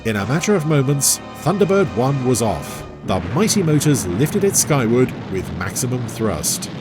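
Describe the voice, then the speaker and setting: A man's voice: mid-range voice, big pitch swings, moderate pace, music in the background. A man reading a scripted adventure story as its narrator.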